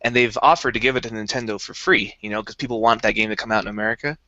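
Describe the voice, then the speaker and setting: A man's voice: fairly low pitch, fairly even intonation, very fast, bright, forceful tone, no echo, muffled recording. A young man co-hosting a casual podcast, explaining things eagerly in a rambling way with many restarts. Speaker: podcast co-host. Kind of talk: casual chat.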